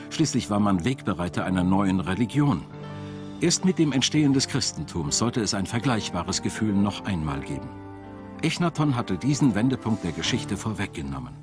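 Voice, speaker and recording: A man with a fairly low voice narrates a documentary from a script. His delivery is measured and tells the material as a story. Music is clearly audible behind him.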